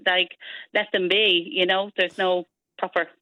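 A middle-aged woman with a soft Dublin Irish accent, chatting as a radio guest and explaining with examples. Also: fairly low pitch, little pitch movement, measured pace, dry recording, phone-quality sound. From Dublin, Ireland. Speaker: studio guest. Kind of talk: casual radio chat.

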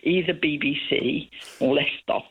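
A woman's voice: sits low, moderate pace, husky. An older woman calling in to a radio show, cheeky and giggly in casual chat. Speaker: caller. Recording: tinny phone line.

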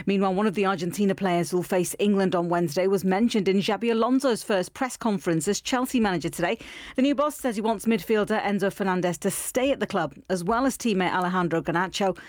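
A woman reading a scripted sports news bulletin on the radio in a brisk, clear, even way. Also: mid-range voice, no room sound, clean studio sound.

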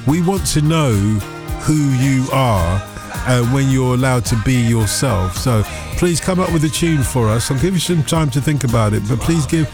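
A man's voice: mid-range voice, expressive, normal speed, over music. A man with a Liverpool accent hosting a radio phone-in, playful and jokey, often talking over his guest. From England. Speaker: radio show host. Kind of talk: casual radio phone-in chat.

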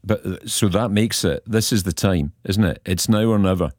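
A man's voice: low voice, moderate pace, a little mellow, no echo, clean studio sound. A man hosting a radio phone-in, chatty and upbeat as he questions callers. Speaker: radio host.

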